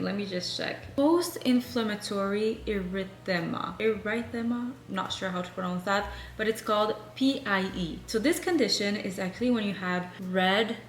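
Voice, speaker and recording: Young french-canadian woman vlogging at home, chatty q&a, kinda echoey room w/ faint hum. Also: mid-range voice. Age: 20s. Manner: chatty, answering viewer questions. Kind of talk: casual chat while decorating.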